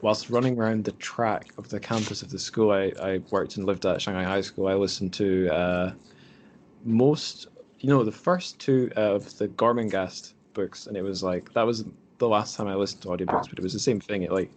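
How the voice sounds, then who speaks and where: Male podcast host, low register, casual and rambling, faint background noise. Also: moderate pace. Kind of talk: casual group conversation.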